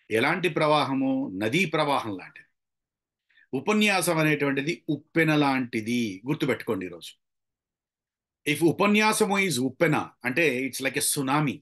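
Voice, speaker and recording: A man's voice: medium pitch, fairly bright tone. A middle-aged or older man with a Telugu Indian accent, teaching public speaking in an instructive way, with rhetorical questions and emphatic repetition. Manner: switches between Telugu and slow deliberate English. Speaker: long-time radio presenter. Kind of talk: motivational online lecture to a group.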